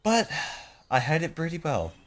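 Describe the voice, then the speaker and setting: A young man with a deep voice hosting a let's play, reading a visual novel aloud and rambling in self-deprecating asides. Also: huge pitch swings, normal speed.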